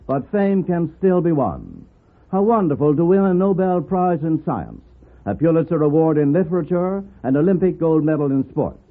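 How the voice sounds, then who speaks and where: A man with an American accent reading a formal, stately 1950s radio advertisement as announcer. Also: fairly high pitch. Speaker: commercial announcer. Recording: muffled old radio broadcast recording.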